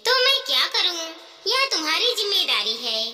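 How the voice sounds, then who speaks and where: A woman's voice: extremely high pitch, very animated, normal speed, bright, forceful tone, distant mic, full character voice. A woman voicing a spoiled, stubborn young wife in a moral story, complaining and defiant. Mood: indignant. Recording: long hall-like reverb.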